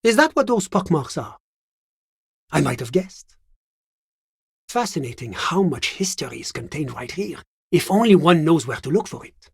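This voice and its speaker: A man playing a smug, urbane government official in a video game, with a French accent and a pompous, measured, courteous manner. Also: a little high, husky, dry recording, clean studio sound. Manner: genteel, pompous, measured, with little questioning hums. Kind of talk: theatrical game character dialogue.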